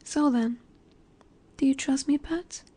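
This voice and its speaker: A woman playing a dominant, nurturing snake-woman monster in an intimate roleplay audio, speaking in a gentle, coaxing way that often sinks toward a whisper. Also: high-pitched, takes it slowly. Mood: gently firm.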